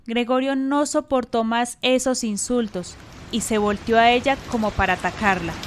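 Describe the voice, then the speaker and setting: A woman's voice: high-pitched, a little hiss or hum. A woman narrating a radio drama in a scripted storytelling style.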